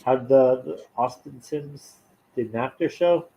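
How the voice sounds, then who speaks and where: A man's voice: mid-range voice, a little slow, gravelly, dark tone, a little hiss or hum, poor audio. A man co-hosting a casual podcast, chiming in with wry jokes and mock arguments. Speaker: podcast co-host. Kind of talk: casual late-night podcast chat.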